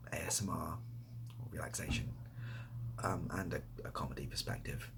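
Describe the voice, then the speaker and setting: A man chatting casually and softly, often nearly whispering, in a rambling, self-deprecating way as he answers questions. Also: sits low. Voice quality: soft, breathy, often near whisper. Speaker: ASMR video creator. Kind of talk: softly spoken casual Q&A chat. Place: indoors next to a window.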